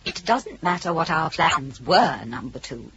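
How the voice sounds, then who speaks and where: An actress playing a calm, calculating female ship computer in a radio drama, speaking in a formal, coldly logical way. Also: sits low, measured pace, a crowd in the background. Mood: cool, calculating. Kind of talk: 1980s radio drama acting. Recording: dull old radio recording, light noise.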